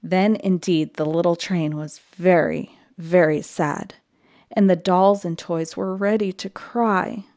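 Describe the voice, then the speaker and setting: A woman narrating a children's audiobook in an expressive storytelling style. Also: on the low side, slow pace, no echo, studio quality.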